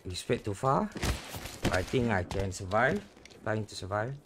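A man, chatting excitedly with friends while streaming a game, repeating himself and teasing. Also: fairly low pitch, unhurried, background noise. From Malaysia. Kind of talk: casual gaming chat. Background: game music.

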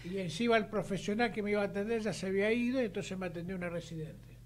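A man's voice: high voice, racing. An older man with a Buenos Aires Spanish accent, hosting a radio interview in a studio and chatting in a conversational way, with personal stories. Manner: chatty, tells personal anecdotes. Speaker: radio show host. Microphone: studio mic on boom arm.

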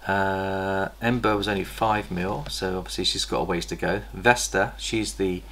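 Guy, middle-aged, deep and a bit gravelly, chatty about his snakes, light hiss behind. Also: fairly even intonation, a bit bright. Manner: chatty, enthusiastic, pauses to recall details. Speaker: snake breeder host. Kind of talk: casual show-and-tell vlog. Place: indoor reptile room lined with tubs. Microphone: camera across a table.

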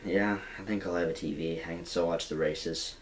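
A man's voice: deep voice, fairly even intonation, moderate pace, natural voice. A young man plays a glum young jailbird in a mockumentary comedy, rambling with hesitant restarts, recorded by a camera in a small bedroom. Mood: resigned. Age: young adult.

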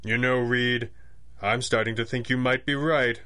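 An actor playing a suave, unflappable masked vigilante in 1930s radio style, formal and arch with dry menace. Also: male voice, medium pitch, fairly even intonation, a little slow, pushed and bright. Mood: dry, probing.

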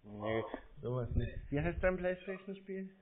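A man's voice: fairly high pitch, animated, normal speed, crowd noise. Laconic man, phone-like sound. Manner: laconic, short replies. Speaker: YouTuber. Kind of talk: casual phone chat.

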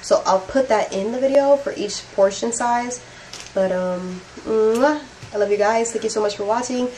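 A woman's voice: a little high, normal speed, warm tone, slight background noise, poor audio. A young woman in her twenties vlogging her meal prep, talking casually and slangily straight to a phone camera. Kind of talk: casual vlog chat. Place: grocery store, then home kitchen. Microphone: handheld phone camera at arm's length.

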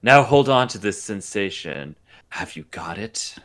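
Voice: sits low, lively intonation, a little slow, a bit bright. A host on a video call, reading script parts casually and breaking off into exasperated commentary. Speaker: host reading parts in a table read and reacting. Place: indoors at home on a group video call. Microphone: video call microphone.

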